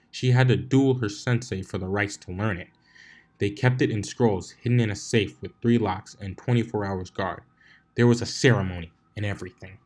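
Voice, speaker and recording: A man with a fairly low voice reads fiction aloud. He works as the narrator, delivering scripted prose between the characters' lines. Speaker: fan fiction reader, narrator.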